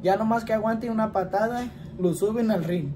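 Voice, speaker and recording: A man's voice: high voice, normal speed. Chatty young Mexican man, noisy room. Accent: Mexican Spanish. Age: 20s. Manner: chatty, rambling, often asks "¿verdad?". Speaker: vlogger answering questions. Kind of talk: casual home vlog. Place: small indoor room, plain wall.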